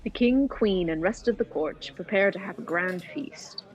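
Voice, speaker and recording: A woman's voice: medium pitch, normal speed, poor audio. A young woman giving scripted narration of scenes in a play. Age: young adult. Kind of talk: scripted narration of stage directions.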